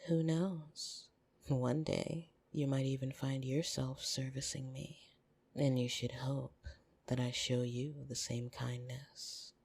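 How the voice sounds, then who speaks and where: Husky, slow-talking flirtatious vampire, acted out in ASMR. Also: medium pitch, flat delivery, exaggerated voice. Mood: playful, flirtatious. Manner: teasing, soft-spoken, often dropping into breathy whispers. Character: flirtatious, possessive upper-class vampire on a dinner date. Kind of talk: ASMR roleplay, intimate second-person monologue.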